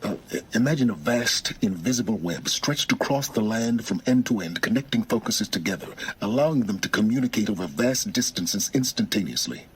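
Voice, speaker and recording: An actor playing a learned, secretive game character, speaking in a deliberate, articulate, wry manner. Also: male voice, on the low side, expressive, slightly rough. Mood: wry, intrigued. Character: learned, secretive man, cool and calculating informant. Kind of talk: video game voice acting, measured dialogue.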